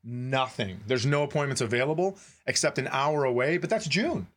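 A man's voice: mid-range voice, normal speed, fairly bright tone. A middle-aged American man co-hosting a podcast, telling comic stories casually in a wry, profane way and acting out the dialogue. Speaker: podcast co-host. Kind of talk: casual comedic storytelling.

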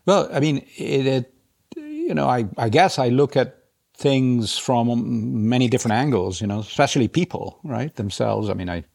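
A man from South America and Europe talks as a guest in a casual interview. His voice is gravelly with vocal fry at an average male pitch, and he tells things in a hesitant, self-deprecating way. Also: big pitch swings, moderate pace, a little mellow. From grew up across South America and Europe, born in Brazil. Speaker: interview guest, head of quantitative investing. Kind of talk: casual conversational storytelling.

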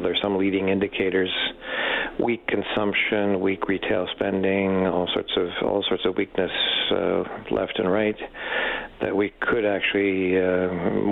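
Male expert guest for a radio interview: low-pitched, gravelly, hesitant, thinking aloud in stammered phrases. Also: phone-quality sound. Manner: hesitant, halting, frequent stammered repeats. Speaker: economics professor, expert guest. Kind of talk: unscripted radio interview answers.

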